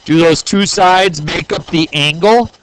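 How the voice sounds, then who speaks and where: A man's voice: fairly high pitch, a little slow, slight background noise, rough recording. A man teaching a math lesson to his class, joking and playful, talking straight to the students. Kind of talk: classroom lesson recorded on video.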